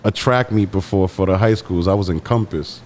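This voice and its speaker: Laid-back young rapper from Boston, low voice. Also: male voice, normal speed, a little mellow, a little hiss or hum. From Massachusetts, raised in Boston. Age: young adult. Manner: laid-back slangy storytelling, playful asides. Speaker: rapper guest. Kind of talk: casual interview storytelling.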